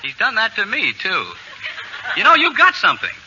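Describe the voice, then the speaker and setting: A man's voice: high-pitched, wildly swooping pitch, normal speed, pushed and bright, exaggerated voice. A man playing an exasperated suburban husband and father, blustery and stammering, in a 1940s radio comedy. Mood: amiable. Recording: dull, hissy old radio broadcast.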